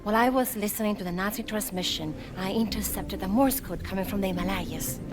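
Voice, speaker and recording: A woman's voice: mid-range voice, a little fast, over music, lightly acted. An actress playing a determined, urgent young woman investigator in a cinematic video game.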